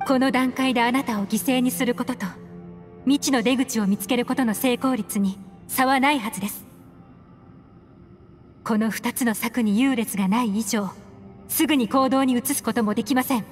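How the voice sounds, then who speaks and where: A woman playing a composed, principled young advisor in an anime-style game, speaking politely and reasoning in a firm, measured way. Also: on the high side, close to an everyday voice. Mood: calm, reasoning. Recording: reverberant, with music behind.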